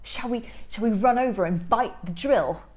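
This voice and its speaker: A woman voicing a tiny, excitable male tooth troll in a storybook reading, frantic and shouty. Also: on the high side, moderate pace, close to an everyday voice. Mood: angry. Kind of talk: storybook character voice.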